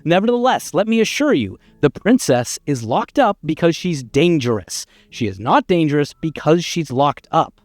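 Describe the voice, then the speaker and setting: A man's voice: on the high side, big pitch swings, moderate pace, darkish tone, no echo, faint music behind. A man voicing a pushy, exasperated, wordy narrator of a storybook game, with a theatrical and insistent delivery.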